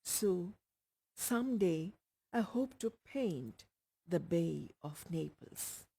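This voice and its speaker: A woman voicing a sick young woman in a storybook, quiet, weak and wistful. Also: fairly low pitch, expressive, drawn-out words, gravelly, breathy edge, full character voice. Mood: penitent, wistful.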